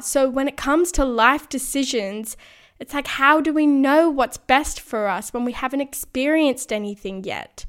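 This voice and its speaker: Slightly croaky young woman podcasting. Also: high-pitched, fairly bright tone. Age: 20s. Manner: earnest, frequent light laughs. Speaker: podcast host. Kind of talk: casual solo podcast monologue.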